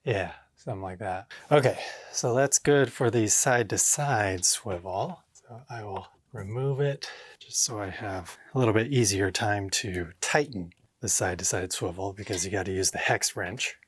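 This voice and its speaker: A man's voice: lowish voice, moderate pace, slightly rough, no echo. A man narrating a hands-on vlog casually, thinking aloud and talking to himself with short exclamations. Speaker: home theater DIY vlogger. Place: indoor home theater room with drop ceiling.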